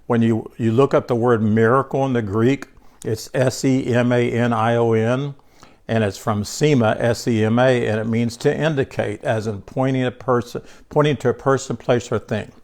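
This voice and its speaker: A man's voice: medium pitch, fairly even intonation, normal speed, a little mellow. An older man teaching a small group about scripture in a loose, conversational way, congested, often restarting words and speaking to listeners by name. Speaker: bible teacher.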